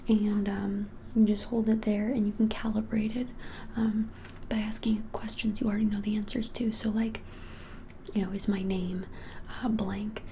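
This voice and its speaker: A woman in her twenties whispering softly and without hurry in an ASMR roleplay as a shop keeper, often hesitating. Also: mid-range voice, flat delivery, vocal fry, slight background noise. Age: 20s. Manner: soft whispering, hesitant and unhurried. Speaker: ASMR creator playing a witch shop keeper. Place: small plain-walled room, indoors.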